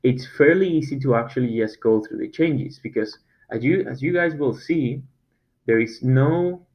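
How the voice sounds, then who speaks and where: Man explaining software. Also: medium pitch. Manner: casual, halting, many fillers and restarts. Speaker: web design company owner. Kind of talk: screen-recorded software tutorial. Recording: slightly dull, lo-fi sound.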